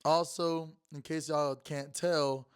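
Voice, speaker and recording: Young man, raspy, hoarse, sports host, rambling casual talk, repeats words, slightly high voice. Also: steady tone, slow pace. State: hoarse from being sick. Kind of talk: casual sports commentary monologue.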